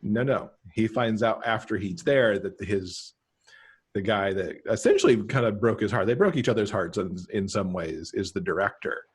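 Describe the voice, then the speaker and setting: A man's voice: on the low side, moderate pace, slightly rough. A middle-aged man, a guest author, talking casually and a little wryly on a video call. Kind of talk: casual chat. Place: indoor room.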